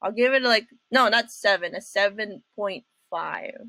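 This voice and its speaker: A woman hosting a reaction video with casual commentary, speaking haltingly and often repeating her phrases. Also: mid-range voice, bright, forceful tone, no echo. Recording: music behind the voice.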